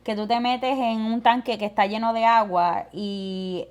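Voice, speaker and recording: A woman's voice: fairly high pitch, fairly even intonation, normal speed. A woman, co-hosting a casual podcast chat and mixing Spanish and English. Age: 30s. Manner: switches between Spanish and English mid-sentence. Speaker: podcast co-host.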